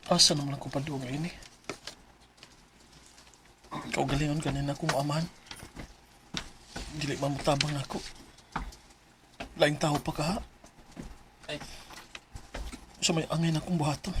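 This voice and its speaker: Actor doing young college guy in a radio drama, troubled but earnest, normal pitch, slight noise. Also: lightly acted. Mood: frustrated. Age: young adult. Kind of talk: Filipino radio drama acting.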